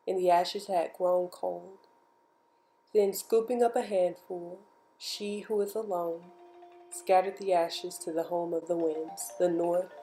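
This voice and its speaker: Female storybook narrator, very unhurried and measured, clear music underneath. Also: on the low side, poor audio. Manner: slow, measured, expressive storytelling. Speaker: storybook reader. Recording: music behind the voice.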